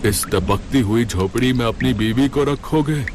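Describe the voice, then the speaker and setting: A man's voice: medium pitch, unhurried, a little mellow, slight background noise, lightly acted. A man voicing a skeptical, thrifty beggar father in a cartoon story.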